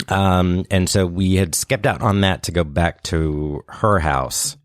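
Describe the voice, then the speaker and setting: A man's voice: deep voice, takes it slowly, no room sound, studio quality. A man telling funny personal stories in a casual, self-deprecating and somewhat hesitant way, as a podcast guest. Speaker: podcast guest, sketch and improv comedian. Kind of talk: casual comedic storytelling.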